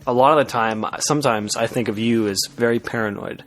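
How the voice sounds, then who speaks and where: A man with an average-pitched voice co-hosts a podcast among friends. He talks casually and matter-of-factly, with a slightly defensive edge to his comic banter. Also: fairly even intonation, brisk. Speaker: podcast co-host.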